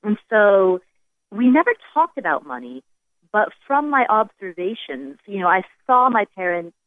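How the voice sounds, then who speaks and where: Over a narrow, phone-like line comes an American woman with a mid-pitched voice. The sound is dry, with no room around it. She speaks conversationally as an expert guest, explaining with emphatic repetition. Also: big pitch swings, moderate pace, no echo. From United States. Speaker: personal finance expert, podcast guest. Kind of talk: conversational interview answers. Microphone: remote phone-like connection. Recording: dry, phone-like band-limited call.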